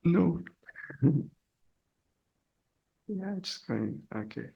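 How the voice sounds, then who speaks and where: A man's voice: on the high side, very animated, very slow, vocal fry, husky, highs cut off. A middle-aged man from Brazil giving a seminar talk in a hall, hesitant and full of fillers, laughing at himself. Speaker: guest speaker. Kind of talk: academic presentation.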